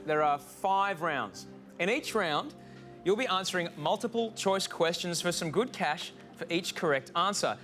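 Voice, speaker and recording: Bright, high-voiced Australian male host. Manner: energetic, announcing. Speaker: game show host. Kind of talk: upbeat game show hosting.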